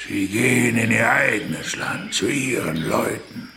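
An actor playing a weary, dignified old village patriarch in a radio drama, with a gravelly voice and halting, weighty delivery. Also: male voice, medium pitch, animated, pushed and bright, soft background music, full character voice. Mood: brooding, resigned. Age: older. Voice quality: gravelly, creaky. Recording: echoey, noisy, ambience with chimes.

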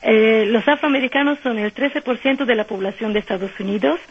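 Italian woman guest, husky voice on a dull phone line, halting speech with fillers. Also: fairly high pitch. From Italy. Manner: hesitant, many fillers, searching for words. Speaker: nun and guest. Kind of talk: radio phone interview.

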